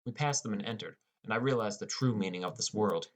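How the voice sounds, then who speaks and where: A man's voice: on the low side, fast. A man narrating a scripted first-person story as an audiobook narrator.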